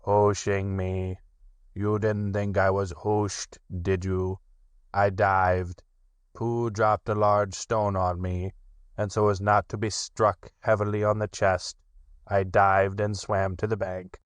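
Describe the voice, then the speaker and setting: A man voicing a gloomy, sulky old male donkey with a slow, heavy, mournful drawl. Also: fairly low pitch, little pitch movement, clean tone, no room sound. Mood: gloomy, put-upon.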